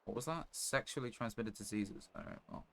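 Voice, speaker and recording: A man's voice: mid-range voice, a little fast. A man giving casual live gameplay commentary as a streamer, muttering to himself as he plays. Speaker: game streamer. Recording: clear background noise, clicks.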